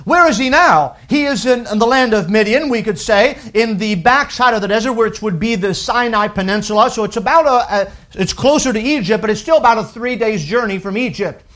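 A man around forty, raised in France with a French accent, preaching a sermon with lively delivery and stammered restarts. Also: sits high, brisk, hardly any pauses.

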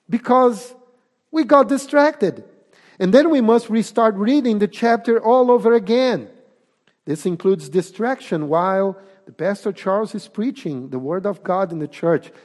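Slow Portuguese-accented man preaching. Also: high-pitched, expressive, darkish tone. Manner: deliberate, emphatic preaching with pauses. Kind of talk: sermon. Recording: long reverb of a hall.

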